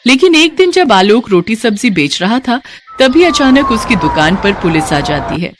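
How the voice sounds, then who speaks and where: A woman's voice: on the high side, very animated, moderate pace, background noise. A woman narrating a scripted moral bedtime story as a storyteller.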